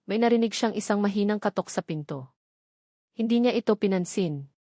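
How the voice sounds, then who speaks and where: A woman's voice: medium pitch, a little mellow, no room sound, clean studio sound. A woman with a Filipino accent reads a scripted love story as a dramatic narrator.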